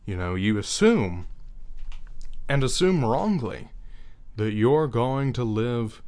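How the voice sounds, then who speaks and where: A young man talking earnestly in a reflective personal monologue, unhurried and thinking aloud as he goes. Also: medium pitch, expressive, a little mellow. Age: young adult.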